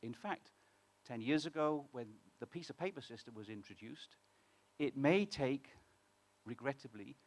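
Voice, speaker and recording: A man's voice: mid-range voice, lively intonation, normal speed. A British man, a fintech founder, speaking in a conversational way at a conference and asking the audience questions. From United Kingdom. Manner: conversational, many restarts, asks the audience questions. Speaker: fintech founder presenting on stage. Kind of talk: conference talk to a live audience.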